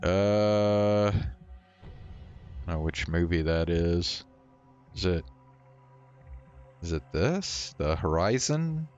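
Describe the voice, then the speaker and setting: A man chatting casually as he streams a game. His voice is on the low side and very unhurried, laid-back and halting, with a slightly dull sound and soft music behind. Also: lively intonation. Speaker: livestreamer.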